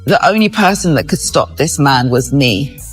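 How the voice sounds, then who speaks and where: Very low-voiced woman, halting. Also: lively intonation, soft background music. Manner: halting, explaining herself. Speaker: interviewee.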